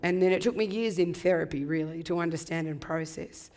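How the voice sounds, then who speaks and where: A woman in her fifties with a husky voice from laryngitis, reflecting thoughtfully as an interview guest with many ums. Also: on the low side, fairly quick, a little mellow. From Australia. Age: 50s. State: hoarse from laryngitis. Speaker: guest author. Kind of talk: conversational interview.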